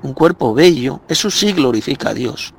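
A man's voice: medium pitch, expressive, normal speed, gravelly, slightly rough. A man preaching harshly and with scorn in a recorded voice message.

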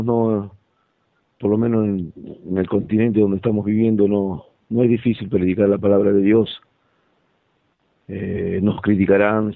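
A man talking reflectively about faith in a halting, searching way over a thin phone-like connection. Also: lowish voice, vocal fry, no echo. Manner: hesitant, halting. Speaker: participant in a religious discussion. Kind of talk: reflective religious talk.

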